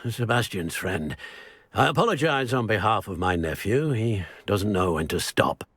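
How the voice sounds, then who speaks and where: A stern, bitter uncle. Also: male voice, lowish voice, animated, normal speed, a bit bright, slightly rough, no echo. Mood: cold. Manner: harsh, scolding, dismissive. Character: stern middle-aged uncle, bitter ex-Auror. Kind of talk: video game cutscene acting.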